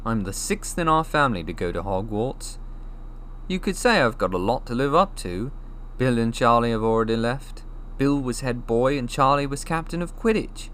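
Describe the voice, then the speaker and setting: A man voicing a glum, self-deprecating young boy wizard in an animated, grumbling way, for a storybook. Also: mid-range voice, moderate pace, slight background noise, pitched above the performer's own voice, close to an everyday voice. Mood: gloomy.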